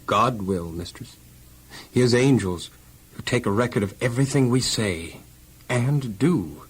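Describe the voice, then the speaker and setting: A man's voice: mid-range voice, expressive, measured pace, slightly rough. A man playing an earnest, loyal, devout young slave in a children's audio Bible drama, polite, hesitant and steadfast. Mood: uneasy, firm.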